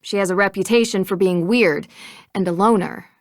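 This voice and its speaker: An inquisitive, level-headed young detective played by a woman in a mystery video game. She has an American accent and a medium-pitched voice, measured and matter-of-fact, recorded very dry. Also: dry recording, natural voice. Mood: thoughtful. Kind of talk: video game dialogue acting.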